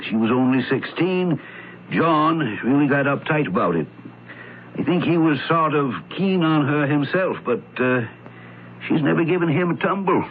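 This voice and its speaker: Man acting family doctor, deliberate, guarded, slightly high voice, radio mystery, tinny with light hiss. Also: animated, natural voice. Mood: guarded, confiding. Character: male family doctor, guarded, gossipy professional. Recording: noisy, tinny old radio broadcast.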